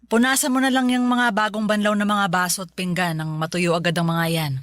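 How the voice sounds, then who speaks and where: An actress playing a loving, selfless middle-aged mother in a Filipino radio drama, reassuring and unhurried. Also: mid-range voice, little pitch movement, fairly bright tone, no room sound, clean studio sound, natural voice. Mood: gently insistent. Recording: music bed under the voice.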